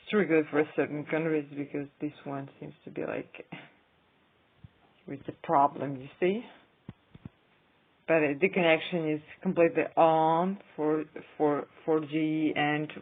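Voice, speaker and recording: Slow, halting woman on tinny audio. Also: low-pitched. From Ukraine. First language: not English. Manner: hesitant, halting with frequent pauses. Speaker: vlogger. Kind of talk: casual screen-recording commentary.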